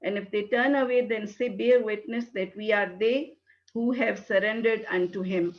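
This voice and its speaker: A woman's voice: medium pitch, fairly even intonation, normal speed. A woman from Pakistan, English not her first language, giving a hesitant webinar talk full of fillers. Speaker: guest speaker. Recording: dull lo-fi webinar audio.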